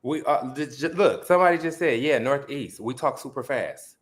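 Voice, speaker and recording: A young man from the Midwest in a casual chat, gossiping about reality shows. His voice sits at a middle pitch. He keeps acting out what other people said, changing his tone for each one. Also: moderate pace. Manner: gossipy, animated, acts out quoted voices. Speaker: guest.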